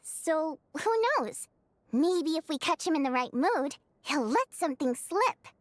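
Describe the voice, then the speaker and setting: A lively, scheming young rebel organizer. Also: female voice, sits high, no echo. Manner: brisk, confident, scheming. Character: upbeat young woman leading an underground group. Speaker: game character. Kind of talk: voiced video game dialogue.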